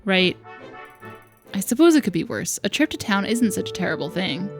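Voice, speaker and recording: A woman voicing a wistful but quietly defiant young servant, reading visual novel lines aloud in a reflective way. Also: medium pitch, very animated, normal speed. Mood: hopeful. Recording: music behind the voice.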